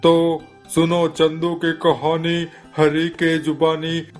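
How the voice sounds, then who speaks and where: A man plays a brave schoolboy in an animated tale. He reads aloud slowly and declaims each phrase over faint music. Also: a little high. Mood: resolute. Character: schoolboy bravely reading a lesson aloud in class. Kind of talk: animated moral story dialogue.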